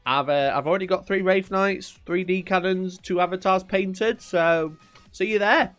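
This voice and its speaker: A man's voice: sits high, unhurried, a bit bright, clean tone, faint music behind. A man with a Yorkshire accent, casually chatting at his desk on a live stream, reading viewers' messages, joking, teasing and laughing often. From Northern England.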